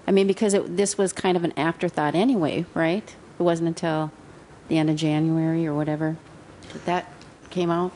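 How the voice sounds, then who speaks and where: A woman's voice: sits low, steady tone, normal speed, mellow, a little hiss or hum. A woman city councilmember speaking tentatively and questioningly at a council meeting.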